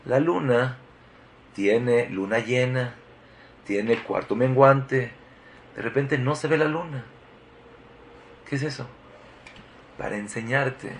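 A man giving a Torah sermon, emphatic and repetitive, full of rhetorical questions. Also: medium pitch. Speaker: rabbi giving a Torah talk.

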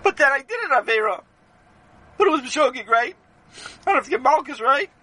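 A man's voice: high voice, expressive, pushed and bright, slightly rough, heavily acted. A man voicing a whiny, anxious schoolboy who pleads and stammers his way through a told children's story. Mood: upset, whining. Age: child figure. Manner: stammering, pleading, rushed questions.

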